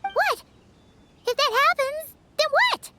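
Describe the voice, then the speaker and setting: Super high little kid game character, bubbly n blunt, swoops all over. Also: female voice, lively intonation, slow, over loud music, exaggerated voice. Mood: anxious. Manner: exclamatory, chirpy, naive. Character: tiny excitable childlike girl, bubbly and blunt. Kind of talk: English video game voice acting.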